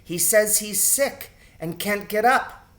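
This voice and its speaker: A man as an earnest, higher-voiced young mouse. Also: slow pace, fairly bright tone. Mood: worried, earnest. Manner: earnest, concerned. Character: dutiful young mouse child reporting to mother.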